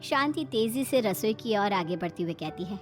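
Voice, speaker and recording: A woman's voice: medium pitch, animated, moderate pace, soft background music. A woman storyteller reading scripted narration of a moral family tale.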